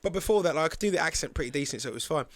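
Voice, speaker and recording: A man from the United States chatting casually as a musician guest on a podcast, telling stories with slangy, animated phrasing and casual swearing. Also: a little high, racing, no echo. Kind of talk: casual chat.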